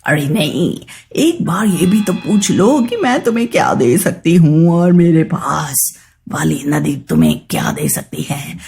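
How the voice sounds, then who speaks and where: Woman doing a low, coaxing river spirit. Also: big pitch swings, unhurried, gravelly, darkish tone, soft background music, exaggerated voice. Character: cunning rival magical river spirit promising riches. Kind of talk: children's moral story cartoon.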